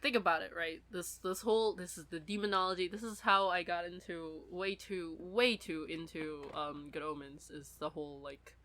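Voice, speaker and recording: A woman's voice: fairly low pitch, fairly even intonation, normal speed, few pauses, fairly bright tone. A woman in a casual, rambling fan discussion, talking as a podcast co-host.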